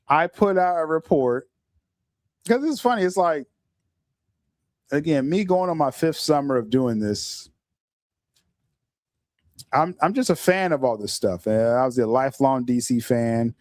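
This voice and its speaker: A middle-aged man hosting a casual podcast livestream, talking in an animated way, stumbling over repeated words and often laughing. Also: medium pitch, a little slow, pauses often, no echo, studio quality. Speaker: podcast host.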